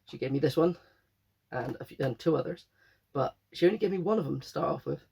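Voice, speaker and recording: Guy, croaky from a cold, gravelly and husky, rambles and corrects himself. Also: fairly high pitch, fast, dark tone. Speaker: game collector vlogger. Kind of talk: casual chat.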